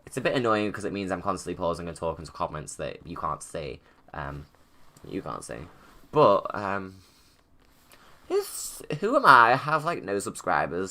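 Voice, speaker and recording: A man's voice: sits low, very animated, brisk, bright, forceful tone. A man giving casual, informal livestream commentary, rambling and thinking aloud as he goes. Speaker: video creator giving commentary.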